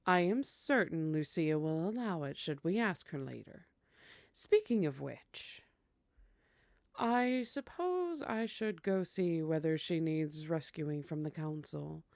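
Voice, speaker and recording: A woman's voice: low voice, expressive, takes it slowly, gravelly, darkish tone, no room sound, clean studio sound, full character voice. A woman playing a teasing, courtly vampire hostess in a scripted ASMR roleplay, speaking in a coy, formal way. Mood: gracious.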